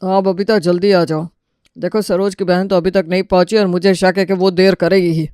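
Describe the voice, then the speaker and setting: A man voicing a bossy, sarcastic middle-aged husband and father who nags in an animated family story. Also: high voice, a little fast, clean tone, no echo, studio quality, natural voice. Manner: sarcastic, nagging.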